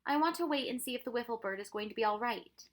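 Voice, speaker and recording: A woman's voice: fairly high pitch, quick pace, pitched above the performer's own voice, close to an everyday voice. A woman voicing a fierce, loyal young boy in a storybook, speaking in an emphatic, defiant way. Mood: dejected, insistent.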